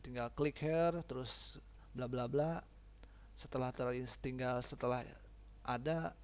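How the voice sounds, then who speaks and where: A man giving a casual, informal step-by-step software tutorial, often checking in with his listeners. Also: mid-range voice.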